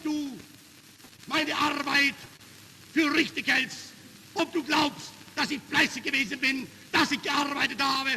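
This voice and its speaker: A man giving an impassioned political speech that climbs into shouts, from an old noisy archive recording in an echoey hall. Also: very high-pitched, creaky. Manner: forceful, rising to shouts.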